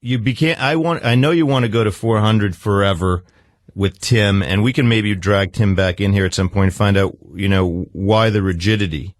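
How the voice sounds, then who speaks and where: Deep-voiced, insistent man probing like a therapist. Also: moderate pace, dry recording, studio quality. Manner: insistent, interrupting, pressing with repeated questions. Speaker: psychiatrist interviewing a guest. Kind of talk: probing therapy-style radio interview.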